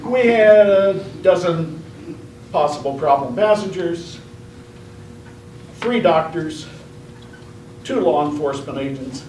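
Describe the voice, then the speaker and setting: A man telling a tall tale as a speech contestant, in a measured, deadpan comic storytelling style. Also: on the high side. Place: indoor room at a speech contest. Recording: roomy, distant mic, faint noise.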